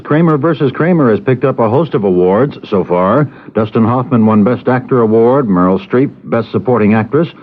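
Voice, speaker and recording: A man reading scripted news briskly as a radio anchor. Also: medium pitch. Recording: phone-like narrow-band radio recording.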